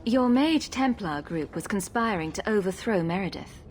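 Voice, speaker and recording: A woman playing a calm, resolute champion in a fantasy game, composed and diplomatic. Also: mid-range voice, moderate pace, slight background noise, lightly acted. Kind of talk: fantasy video game acting.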